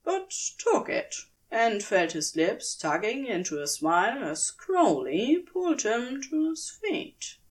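A woman's voice: fairly high pitch, sing-song, slow, few pauses. A woman narrating scripted fiction as a storyteller, in audiobook style.

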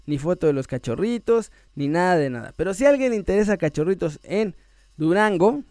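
A man's voice: sits high, lively intonation, brisk, a little mellow, no room sound, studio quality. A man with a Mexican accent hosting a sports news channel, talking casually and playfully to viewers as he reads out messages, stretching words for emphasis. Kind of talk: casual chat to viewers, reading out messages and shout-outs.

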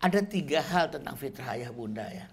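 Indonesian-speaking man, gravelly relaxed lecture voice. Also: medium pitch, moderate pace, slight background noise. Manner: relaxed, conversational, with frequent fillers like "gitu ya". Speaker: parenting speaker. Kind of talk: casual lecture on parenting.

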